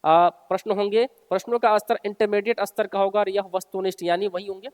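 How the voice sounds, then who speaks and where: High-pitched male coaching teacher in heavy reverb. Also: fairly even intonation, fairly quick, a little mellow. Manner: instructive, repeats and rephrases key points for listeners. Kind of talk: informal explainer, reading out and explaining a job notice. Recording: long hall-like reverb.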